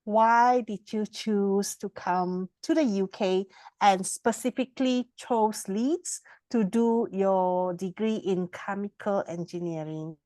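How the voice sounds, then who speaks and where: A woman from the university interviewing a student, asking measured questions with brief hesitations. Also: medium pitch, steady tone, warm tone, no room sound. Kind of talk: hosted interview.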